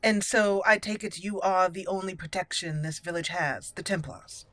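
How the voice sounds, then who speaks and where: A woman's voice: on the low side, steady tone, moderate pace, a bit bright, no room sound. A woman hosting a roleplaying let's play, speaking in character and commenting as she plays. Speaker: gaming channel host, player. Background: game music.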